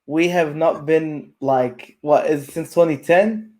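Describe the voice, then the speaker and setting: A hoarse young man co-hosting a sports podcast, talking about his team like an excitable, yelling fan. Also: on the high side, unhurried, a little hiss or hum. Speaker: podcast co-host.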